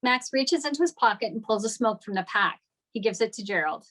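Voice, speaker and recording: A woman's voice: fairly high pitch, lively intonation, brisk, a bit bright. A woman narrating the stage directions of a screenplay at a table read.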